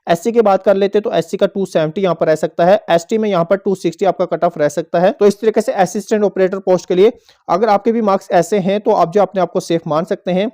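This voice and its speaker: A man's voice: sits high, fairly even intonation, fast talker, warm tone. A man presenting an informational explainer to viewers, going step by step and repeating points as he goes. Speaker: host of an exam update channel.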